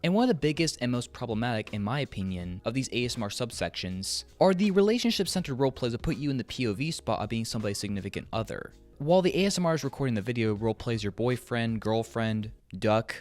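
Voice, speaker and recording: A man's voice: mid-range voice, sing-song, fast, hardly any pauses, a little mellow. A man hosting a scripted video essay as a commentator, with a sarcastic, joking manner and casual swearing. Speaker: YouTube commentator and host.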